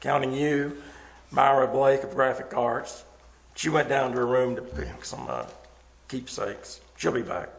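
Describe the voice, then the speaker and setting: A man's voice: mid-range voice, a little slow, vocal fry. A man playing a weary, fatalistic faculty member in a radio thriller, hesitant and understated. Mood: distracted, resigned. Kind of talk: old-time radio thriller acting. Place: live staged performance at a winery. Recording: noisy live stage recording.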